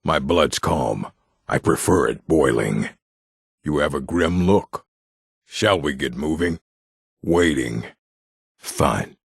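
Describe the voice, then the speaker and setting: An actor voicing a proud, gruff orc warrior in a fantasy video game, gravelly and husky, with a stern, blunt delivery. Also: male voice, extremely low pitch, expressive, slow pace, pauses often, no room sound, studio quality, exaggerated voice. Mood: curt, stoic.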